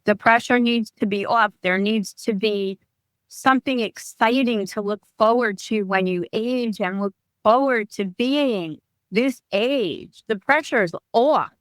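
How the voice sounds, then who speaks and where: Woman in her 50s, wellness coach guest, smooth voice, keeps restarting sentences. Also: a little high, a little slow, no echo. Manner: chatty, restarts sentences often. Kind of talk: podcast interview. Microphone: remote call.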